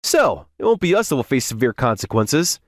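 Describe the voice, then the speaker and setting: A man's voice: medium pitch, sing-song, brisk, dry recording, studio quality, lightly acted. A man playing an earnest young office worker who narrates and voices his story in a manga dub. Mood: confident, firm. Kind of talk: scripted first-person manga recap narration and dialogue.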